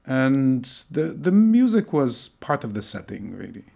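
A middle-aged man from Tunisia, a lecturer, talking thoughtfully in an interview, with many pauses and fillers. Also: medium pitch, big pitch swings, creaky, dark tone. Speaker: lecturer in Arabic studies. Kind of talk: conversational interview.

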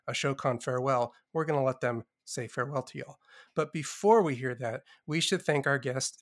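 A man co-hosting a talk show, speaking in a relaxed, folksy way. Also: medium pitch. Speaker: co-host.

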